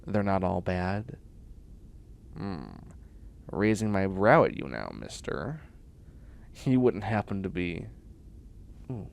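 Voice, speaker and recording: A man's voice: deep voice, animated, slow, vocal fry, pauses often, full character voice. A man playing a nerdy, self-assured young bioengineering student in a comedic sci-fi audio roleplay, chatty, snarky and boastful. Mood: smug. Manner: chatty, snarky, boastful, muttering asides and exclamations.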